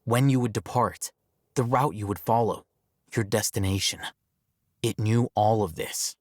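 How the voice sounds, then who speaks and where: An actor playing an aloof, coolly logical young male scholar in a video game, measured, detached and matter-of-fact. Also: on the low side, fairly even intonation, slight background noise, close to an everyday voice. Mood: explanatory, grave. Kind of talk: video game character dialogue.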